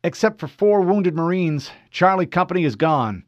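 A man's voice: high voice, expressive, normal speed, dry recording, dull audio, pitched above the performer's own voice. A man voicing a disciplined male soldier who leads a squad and speaks in formal military radio talk. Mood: controlled.

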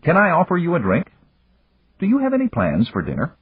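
A man voicing a suave, casual businessman in an audiobook, breezy and gallant with a gently teasing way of talking. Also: on the high side, huge pitch swings, a little fast, breathy edge, pitched above the performer's own voice, exaggerated voice. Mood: charming, teasing. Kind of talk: audiobook character voice. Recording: echoey room, muffled narrow-band sound.

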